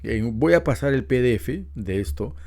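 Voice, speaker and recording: A man's voice: medium pitch, lively intonation, normal speed, gravelly, a little mellow. A middle-aged man preaching a Bible lesson, explaining patiently and asking rhetorical questions. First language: Spanish. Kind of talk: Bible teaching talk.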